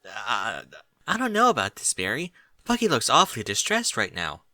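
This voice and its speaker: A man voicing a nervous, caring female pony in a story, speaking softly and hesitantly. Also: mid-range voice, huge pitch swings, moderate pace, dry recording, studio quality, full character voice. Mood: concerned. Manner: hushed, hesitant.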